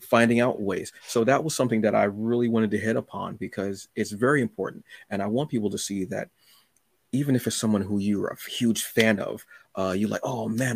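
A man hosting a casual interview, speaking candidly and a little haltingly, repeating words as he goes. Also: medium pitch, a little mellow, dry recording, clean studio sound. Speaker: podcast host.

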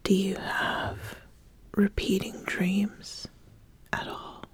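A woman voicing an ambitious witch who calmly sizes up an apprentice in a soft-spoken, halting, deliberate ASMR roleplay. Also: mid-range voice, husky, heavily acted. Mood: calm, inquisitive.

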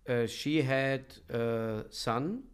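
A middle-aged man from Germany telling his story as an interview guest, with halting, thoughtful pauses. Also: mid-range voice, clean tone. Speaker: podcast guest, professor and coach. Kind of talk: reflective conversational interview storytelling.

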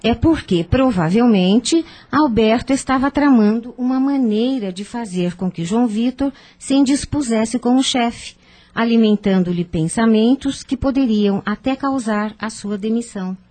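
A woman playing a calm, wise spiritist counsellor in a radio drama, with measured, gentle, didactic delivery. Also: on the high side. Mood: grave, explanatory.

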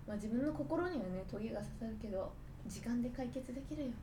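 A woman's voice: on the high side, expressive, fast. A woman chatting casually with friends as a podcast co-host, reassuring and supportive in manner. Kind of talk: casual chat among friends. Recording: echoey room, faint background noise.

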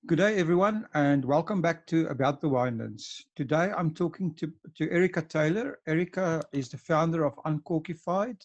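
A man's voice: on the high side, moderate pace, hardly any pauses, lo-fi sound. A man hosting an interview podcast, often stumbling and restarting his sentences. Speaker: podcast host.

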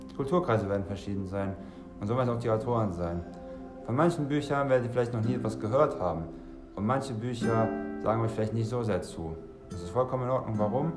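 A man's voice: medium pitch, roomy sound. A bilingual German and Serbian man hosting a solo podcast, talking conversationally and directly to listeners, often rephrasing as he goes. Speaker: podcast host.

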